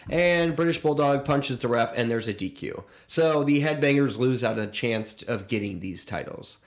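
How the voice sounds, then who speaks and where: A man's voice: medium pitch, moderate pace, echoey room. A man co-hosting a podcast, chatting casually and informally, recapping a show with crude joking. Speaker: podcast co-host.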